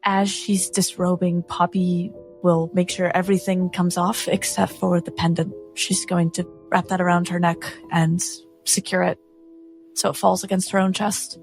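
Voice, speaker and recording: A woman narrating her character's actions as a player in a tabletop game, measured and grave. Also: lowish voice, level tone, creaky. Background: faint music.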